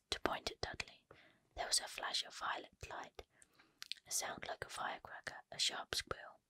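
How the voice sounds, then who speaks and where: A woman reading a storybook aloud in a soft, unvoiced ASMR whisper. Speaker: reader.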